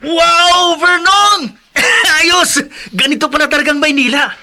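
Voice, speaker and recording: A man's voice: very high voice, slow, sharp tone, heavily acted. A man playing an upbeat, dreamy young Filipino student from the province in a radio drama, eager and optimistic. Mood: amazed, excited.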